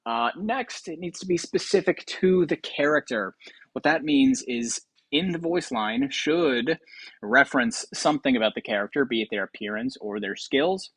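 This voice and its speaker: A man giving casual gaming commentary as a host, in a medium-pitched voice. He slips into mock-grandiose, tongue-in-cheek announcing, recorded close and dry. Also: husky, no echo.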